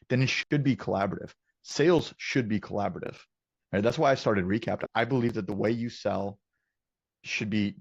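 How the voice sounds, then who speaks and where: A man's voice: fairly low pitch, a little mellow, breathy edge, dry recording, highs cut off. A man hosting a casual business interview, talking in an encouraging, affirming way. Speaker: interview host, company founder.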